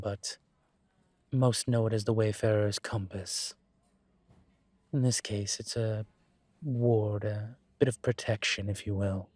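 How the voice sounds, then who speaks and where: A man playing a suave incubus demon professor in a roleplay audio drama, teasing and protective, with a wry, measured delivery. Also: fairly low pitch, a little mellow, dry recording. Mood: earnest, protective.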